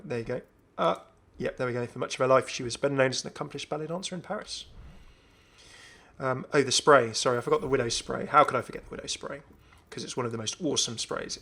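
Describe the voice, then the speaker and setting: A man's voice: medium pitch, fairly bright tone. A man giving casual, off-the-cuff video game commentary as a host, chatty and often musing aloud. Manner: chatty, musing aloud, asks himself questions.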